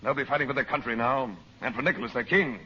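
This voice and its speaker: Man doing a steady no-nonsense hero, quietly firm, old radio drama, muffled and hissy. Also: mid-range voice, fast talker, natural voice. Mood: determined. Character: calm, resolute adult male hero confronting a villain. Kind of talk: 1940s radio acting. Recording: dull old radio broadcast with hiss.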